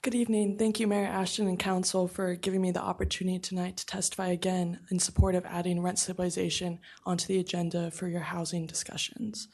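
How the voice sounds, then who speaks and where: A woman reading prepared public testimony at a city council meeting in an earnest, steady way. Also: on the low side, fast, hardly any pauses, slightly rough. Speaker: renter outreach coordinator testifying.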